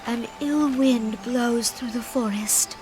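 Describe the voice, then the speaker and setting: A dignified forest leader over swelling music. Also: female voice, a little high, poor audio. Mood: foreboding. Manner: formal, measured, earnest and courtly. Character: gentle, dignified female leader of a forest nation. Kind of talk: scripted video game voice acting. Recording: loud music behind the voice.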